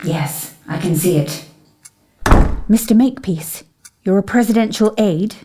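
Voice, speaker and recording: A woman acting in an audio drama as a calm, intuitive investigator with a polite, measured, composed way of speaking. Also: mid-range voice, noisy background, lightly acted. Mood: thoughtful, composed.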